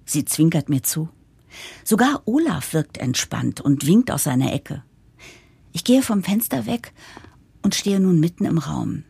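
An actress playing an earnest, hopeful young project leader, narrating a confiding, breathy inner monologue in an audio drama. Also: female voice, sits low, big pitch swings, a little slow, dark tone, no room sound, exaggerated voice. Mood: tense, hopeful.

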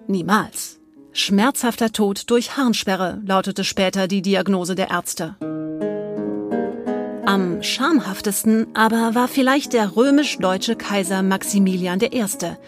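A woman's voice: medium pitch, a little slow. A woman narrating scripted historical anecdotes in a storytelling style. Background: soft plucked guitar music.

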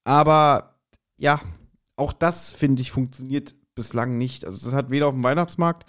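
A man's voice: mid-range voice, normal speed. A man co-hosting a podcast, chatting casually in a wry, jokey and blunt way about an audio drama. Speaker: podcast co-host.

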